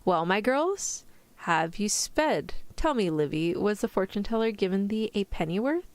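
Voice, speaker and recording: A woman's voice: mid-range voice, lively intonation, moderate pace, no room sound, close to an everyday voice. A woman voicing an indulgent, teasing father from an old English novel, with exclamatory, old-fashioned phrasing in a storybook read-aloud. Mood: fond, curious.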